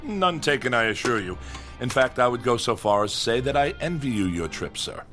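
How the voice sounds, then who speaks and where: An actor playing a pompous, exasperated scholar of the nineteenth century, with fussily formal, old-fashioned diction in a comic radio drama. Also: male voice, mid-range voice, expressive, brisk, pushed and bright, faint music behind. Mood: pompous, wistful.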